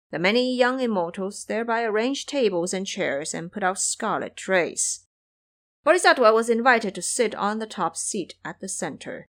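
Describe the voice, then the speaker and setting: Female narrator for audio drama, measured storytelling delivery, very varied intonation. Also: medium pitch, fairly bright tone.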